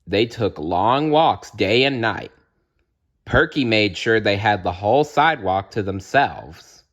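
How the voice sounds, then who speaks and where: A man reading a children's story aloud as narrator, with expressive delivery. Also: lowish voice, slow, muffled recording. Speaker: storybook reader.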